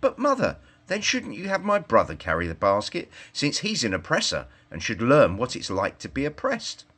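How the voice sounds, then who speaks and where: A man's voice: medium pitch, huge pitch swings, normal speed, pushed and bright, heavily acted. Man putting on a preachy, indignant little girl. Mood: questioning. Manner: lecturing, formal wording.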